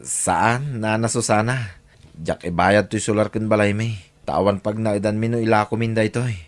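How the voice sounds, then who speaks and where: A man with an Ilocano accent voicing a humble, devout poor father in an audio drama, gentle and earnest. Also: lowish voice, fairly even intonation, normal speed, lightly acted. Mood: pleading. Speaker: voice actor.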